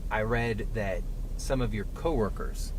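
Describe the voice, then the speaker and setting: A man's voice: lowish voice, unhurried, noisy background. A man from Miami hosting, a former cop, asking friendly leading questions in a casual interview. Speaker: traffic reporter and host. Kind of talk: casual interview in a patrol car. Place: inside a moving car.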